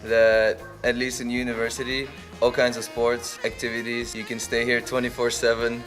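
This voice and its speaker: A man's voice: medium pitch, completely flat delivery, moderate pace, a bit bright, faint music behind. A young man from Russia, a student speaking enthusiastically in a casual interview. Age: young adult.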